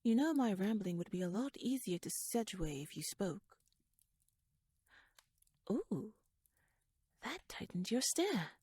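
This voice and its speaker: A woman playing a shrewd, teasing royal noblewoman in an ASMR audio roleplay, speaking softly in a wry, courtly, measured way that slips toward a whisper. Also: medium pitch, sing-song, breathy edge, dry recording, full character voice. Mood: playful, probing.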